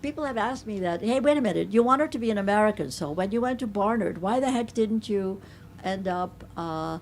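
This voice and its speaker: An older woman with a slight Hungarian accent, a native Hungarian speaker, answering audience questions at a book talk in a playful, affectionate, deliberate way. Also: medium pitch, expressive, a little mellow, slight background noise. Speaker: author and professor giving a book talk. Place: bookstore event.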